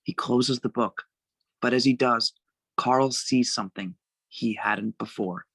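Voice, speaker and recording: A man narrating a screenplay's stage directions at a table read, with a gravelly voice. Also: mid-range voice, steady tone, measured pace, fairly bright tone, no room sound.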